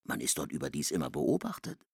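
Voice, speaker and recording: A man narrates a literary radio drama in a very low, gravelly voice. His delivery is hushed and measured, yet the melody moves a great deal. The sound is close and dry. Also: wildly swooping pitch, dry recording.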